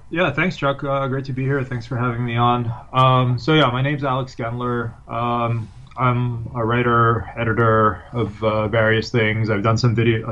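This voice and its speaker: A man's voice: medium pitch, a bit bright, lo-fi sound. A man from Ukraine, a writer appearing as a guest, answering questions hesitantly with many ums and uhs. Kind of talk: interview answers.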